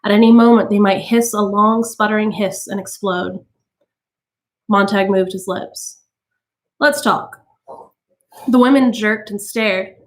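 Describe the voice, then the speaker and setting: A woman reading a novel aloud as a storytime narrator, with expressive delivery. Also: medium pitch, measured pace. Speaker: storytime reader.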